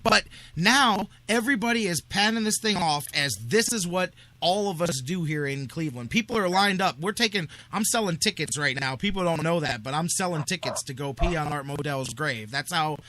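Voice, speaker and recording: This is a man hosting sports talk with a bright, punchy edge to his voice. He sits somewhat higher than most men. His delivery is opinionated and emphatic, circling back on phrases to drive them home. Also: animated, moderate pace. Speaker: sports radio host.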